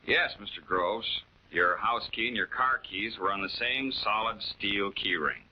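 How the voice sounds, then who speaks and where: A man's voice: fairly low pitch, measured pace, slight background noise, lightly acted. An actor playing a plain-spoken male detective sidekick with a blunt, colloquial manner in a 1940s television crime drama. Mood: accusing. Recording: dull 1940s film soundtrack.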